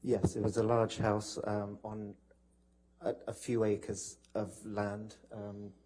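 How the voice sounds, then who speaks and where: A man's voice: fairly low pitch, completely flat delivery, slow, mellow. A man testifying as a witness in court, recounting from memory in polite, hesitant, broken phrases.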